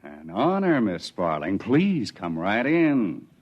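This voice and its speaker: An actor playing a brash, blunt, folksy frontier stowaway in a 1950s radio drama. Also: male voice, lowish voice, huge pitch swings, takes it slowly, slight background noise, exaggerated voice. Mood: cocky, blunt. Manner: folksy, plain-spoken, swaggering. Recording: muffled vintage radio recording.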